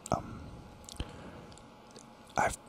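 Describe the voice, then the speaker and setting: A man giving whispered ASMR commentary while playing a strategy game, rambling and thinking aloud, toying with repeated words, close to the microphone. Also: slight background noise. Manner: soft and unhurried, thinks aloud. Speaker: gaming channel host.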